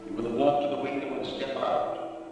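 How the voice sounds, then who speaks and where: Actor as echoing, hypnotic villainous mastermind. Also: male voice, medium pitch, little pitch movement, close to an everyday voice. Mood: menacing command. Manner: commanding, slow, ominous. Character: sinister male mastermind villain, the Scarab, hypnotically commanding. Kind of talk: 1940s film serial acting. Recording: echoey, far-off 1940s film soundtrack. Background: loud dramatic music.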